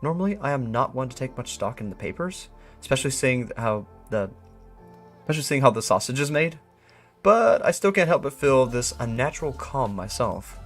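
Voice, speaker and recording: A man voicing a brooding, suspicious employee, reading an eerie memo in a measured, musing and ominous way. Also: medium pitch, sing-song, soft background music, lightly acted. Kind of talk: dramatic reading of a written note.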